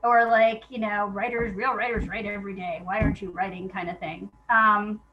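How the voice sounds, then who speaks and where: A woman talking casually and reflectively as an interview guest, in an informal, halting way, thinking aloud as she goes. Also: mid-range voice, fairly even intonation, highs cut off, background noise.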